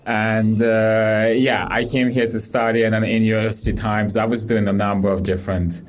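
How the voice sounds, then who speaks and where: Relaxed low-voiced man from Ukraine. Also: moderate pace. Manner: relaxed, rambling with many fillers and restarts. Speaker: guest, startup CEO. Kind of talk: casual interview talk.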